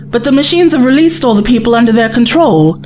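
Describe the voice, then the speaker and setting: A woman's voice: a little high, expressive, fast talker, darkish tone, a little hiss or hum, natural voice. A woman playing an anxious, devoted young companion in an audio drama, speaking in an earnest, hesitant way. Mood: tentative, relieved.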